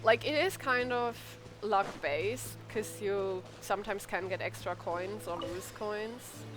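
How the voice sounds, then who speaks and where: A woman's voice: mid-range voice, takes it slowly. A woman streaming a game, chatting casually and reacting in an informal, emotive way. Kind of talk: casual chat and reactions during gameplay. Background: game music and dialogue.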